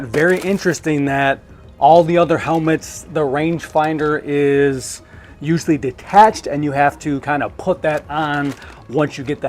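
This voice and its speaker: A man giving a casual, hands-on unboxing review in a conversational way, thinking aloud as he goes. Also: fairly high pitch. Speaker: product reviewer.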